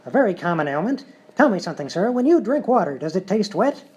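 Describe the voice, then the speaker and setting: A woman playing a showy, fast-talking medicine show huckster in a live radio-style comedy sketch. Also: mid-range voice, very animated, darkish tone, highs cut off, lightly acted. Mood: smooth assurance. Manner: showy, smooth-talking salesman patter.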